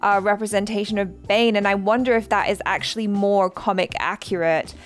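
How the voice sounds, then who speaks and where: A woman's voice: mid-range voice, fairly even intonation, a little fast, soft background music. A young woman chatting casually as a reaction host, thinking aloud in a conversational way. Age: young adult. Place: small indoor studio. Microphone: headset boom mic.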